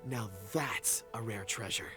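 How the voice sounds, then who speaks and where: A man's voice: on the low side, unhurried, bright, forceful tone, faint music behind. A man playing a genial, eloquent rock connoisseur in a video game, courtly and effusive. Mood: admiring, eloquent.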